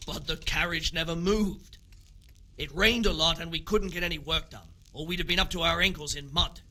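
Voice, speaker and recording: A man's voice: on the high side, moderate pace, pushed and bright, rough recording. An actor playing a practical, sceptical medieval building foreman in a video game. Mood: sceptical, defensive. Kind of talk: video game drama acting.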